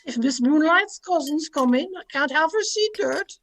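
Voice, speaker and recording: A woman's voice: high-pitched, lively intonation, normal speed. A woman playing a crusty old Scottish housemaid in radio drama, tart and impudent. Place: at home, recorded over video call.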